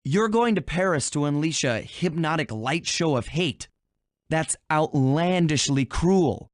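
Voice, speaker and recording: A man's voice: on the high side, animated, a little slow, dry recording, studio quality. A man playing a cool, confident young thief, a cartoon animal hero in a video game, with a wry, casual manner. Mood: accusing. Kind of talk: video game character acting.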